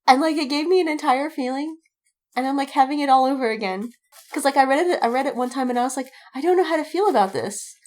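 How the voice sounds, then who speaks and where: High-voiced woman rambling through fandom chat. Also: fast talker, darkish tone. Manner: rambling, repeats and emphasises words. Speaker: podcast co-host.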